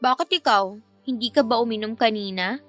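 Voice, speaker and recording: Actress as a tipsy, lovestruck young Filipino woman, high-voiced. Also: brisk, a bit bright, close to an everyday voice. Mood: hesitant. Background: music.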